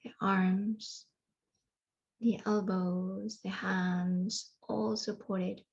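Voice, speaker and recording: A woman guiding a yoga nidra meditation in a soothing way, leaving long pauses between phrases. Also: mid-range voice, little pitch movement, gravelly, a little mellow, breathy edge, muffled recording. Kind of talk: guided meditation.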